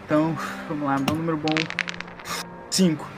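A man's voice: fairly high pitch, little pitch movement, moderate pace, over music. A man with a Brazilian accent talking casually as a player in a tabletop game. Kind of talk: casual chat.

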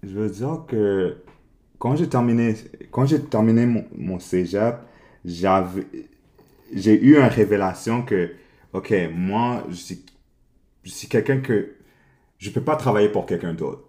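Deep-voiced man, halting and restarting. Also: warm tone. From Montreal, Canada. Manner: halting, many restarts, slips into English. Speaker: guest. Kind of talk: casual interview.

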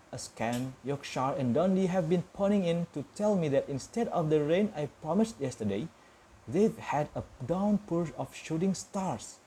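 A man's voice: on the high side, mellow, slight background noise, pitched above the performer's own voice, lightly acted, poor audio. A man doing a chirpy TV weatherman. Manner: breezy, jokey broadcast delivery.

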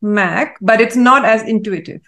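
A woman from Malaysia, a guest in an interview, answering in a thoughtful, measured way with frequent pauses and fillers. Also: fairly high pitch, a bit bright. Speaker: guest, AI consultant.

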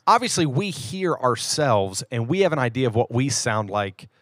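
A man's voice: medium pitch, very animated, moderate pace, fairly bright tone, dry recording, clean studio sound. A man co-hosting a podcast in casual, folksy banter, feeling his way through sentences and repeating phrases. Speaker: podcast co-host.

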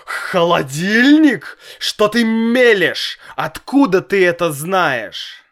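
Brief: hot-tempered father, exasperated and loud, drawn-out lines, played by a male reader. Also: on the high side, sing-song, pitched above the performer's own voice, full character voice. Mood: agitated. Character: nervous, hot-tempered father, shouting and hissing. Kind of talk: storybook reading.

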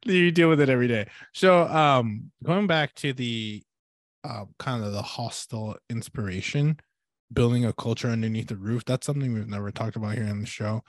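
A man hosting a podcast interview in a casual, rambling way, thinking out loud with many fillers. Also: medium pitch, normal speed, no echo. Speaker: podcast host.

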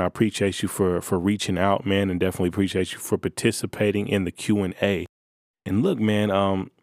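A male podcast host giving casual, matter-of-fact advice in a monotone voice. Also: lowish voice, vocal fry, no echo, clean studio sound.

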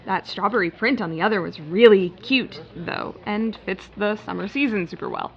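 A woman's voice: medium pitch, moderate pace, natural voice. A woman acting in an audio drama as a harried, polite but wry retail floor manager. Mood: polite, placating. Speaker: actor. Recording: muffled, noisy hidden-bug recording with shop ambience.

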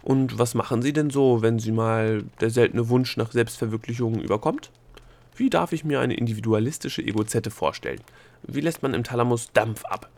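A man's voice: medium pitch, moderate pace, lightly acted. Man voicing an exasperated, emphatic storybook gnome. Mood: goading. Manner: loud, emphatic, wheedling. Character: exasperated, fast-talking male gnome pleading and persuading. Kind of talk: storybook character dialogue.

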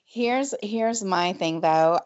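A woman's voice: mid-range voice, slow pace, a little mellow, dull audio. A woman with a mild Southern US accent co-hosts a casual podcast. She talks in a loose, chatty way with easy laughs and plenty of fillers. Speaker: podcast co-host. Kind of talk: casual chat.

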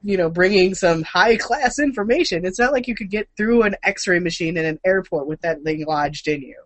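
A woman's voice: lowish voice, moderate pace, no echo. A woman co-hosting a casual news chat, with an irreverent, joking and sweary way of talking. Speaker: show host.

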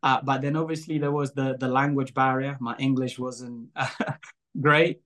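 A man's voice: mid-range voice, little pitch movement, unhurried, a bit bright. A young man from Angola, whose first language is Portuguese, talking casually in an interview, rambling with lots of fillers and small laughs. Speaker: guest, show creator.

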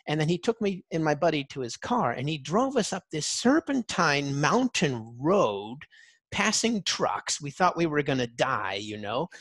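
A man's voice: a little high, no echo. A man interviewing someone in a casual, anecdotal way, with an enthusiastic, folksy and informal manner.

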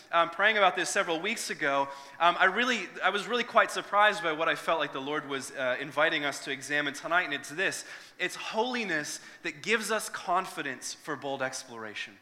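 Male pastor, 30s, earnest and conversational, bright voice, long hall reverb. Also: a little high. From Northern Ireland. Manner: earnest, conversational, with frequent restarts and fillers. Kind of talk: sermon with prayer and personal story.

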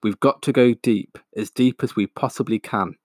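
A man's voice: medium pitch, moderate pace, darkish tone, no room sound, studio quality, natural voice. A man voicing a clever, caring father fox in a storybook reading, firm and commanding. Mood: urgent.

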